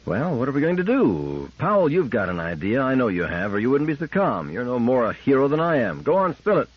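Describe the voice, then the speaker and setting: An agitated male engineer in a science fiction story, played by a man reading aloud. He is bitter and exasperated, leaning hard on his words with wide swings of pitch. The recording is slightly dull. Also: sing-song, a little fast, husky, dry recording. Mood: indignant, demanding. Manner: exasperated, emphatic.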